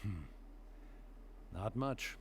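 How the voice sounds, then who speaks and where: Wistful ancient yokai, lively pitch. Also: male voice, extremely slow, a little hiss or hum, heavily acted. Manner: wistful, hesitant, reminiscing. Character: ancient male yokai storyteller, wistful, gently fond, fading. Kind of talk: video game voice acting.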